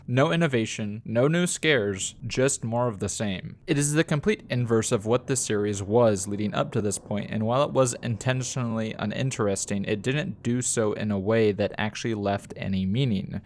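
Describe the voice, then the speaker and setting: A man's voice: on the low side, moderate pace, hardly any pauses, slight background noise. A man delivering a scripted video review as a narrator, with a blunt, critical way of talking. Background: background music.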